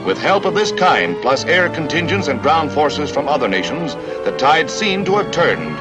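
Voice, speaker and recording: A man's voice: fairly high pitch, very animated, moderate pace, low-quality recording. An American man narrating a scripted 1950 newsreel in a dramatic, grave, declamatory manner. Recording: vintage 1950 newsreel soundtrack with music.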